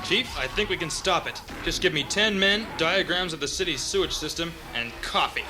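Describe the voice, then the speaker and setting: A man's voice: fairly high pitch, animated, normal speed, close to an everyday voice. A man playing an earnest, exasperated hero in a melodramatic comic radio play. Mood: determined. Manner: intense, insistent, melodramatic. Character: earnest, exasperated everyman hero warning of a monster. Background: faint music.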